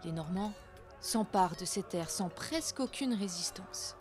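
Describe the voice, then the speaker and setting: A woman's voice: on the low side, expressive, measured pace, darkish tone, faint music behind. A woman hosting a storytelling show, narrating scripted folk legends in a theatrical, literary manner. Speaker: host and storyteller.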